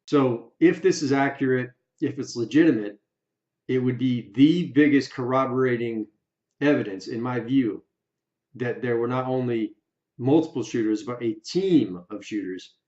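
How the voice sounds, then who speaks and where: A man giving opinion commentary for an online video, speaking emphatically and deliberately in short, separated phrases. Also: medium pitch, darkish tone. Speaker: YouTube video creator. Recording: roomy echo, slightly dull sound.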